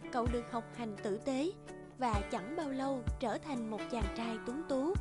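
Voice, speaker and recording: High-pitched woman narrating a fairy tale. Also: normal speed. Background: music.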